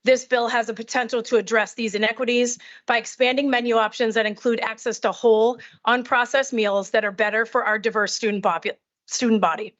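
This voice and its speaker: A woman's voice: on the high side, fairly even intonation, brisk, fairly bright tone. A middle-aged woman, a teacher, delivering prepared testimony at a public hearing. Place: committee hearing. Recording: dull, muffled audio.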